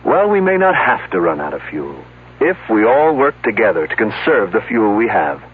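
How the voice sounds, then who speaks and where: An American man delivers an old radio public service announcement. His voice is a little rough and moves expressively as he makes an earnest, measured appeal. The sound is thin, like a vintage broadcast. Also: lowish voice, big pitch swings. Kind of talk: scripted 1970s radio public service message. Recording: tinny, narrow-band old radio broadcast.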